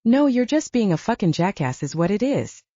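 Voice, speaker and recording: A woman playing an indignant male soldier, very lively pitch. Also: moderate pace, no room sound, lo-fi sound. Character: outspoken, protesting young male werewolf soldier, defends his friends.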